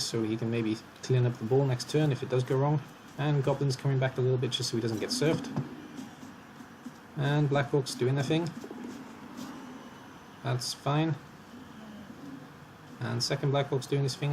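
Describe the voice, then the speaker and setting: A man commentating his own match in a calm, analytical way as he talks through each move, slightly hoarse. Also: mid-range voice, moderate pace, long pauses, noisy background. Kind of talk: casual game commentary.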